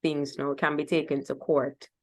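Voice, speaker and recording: A woman's voice: sits low, level tone, normal speed, a little mellow. A woman hosting a music interview in a casual, chatty style. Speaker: host of a music vlog.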